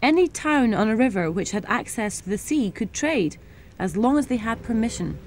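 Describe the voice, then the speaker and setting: Young woman, schools history presenter, clear, simple, high-ish pitch. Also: darkish tone, no room sound. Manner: clear, simple explanations for children.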